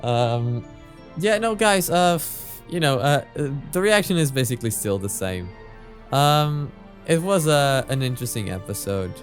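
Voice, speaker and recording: A man hosting a reaction video, commenting casually and thinking out loud in a halting, hesitant way. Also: mid-range voice, expressive, soft background music.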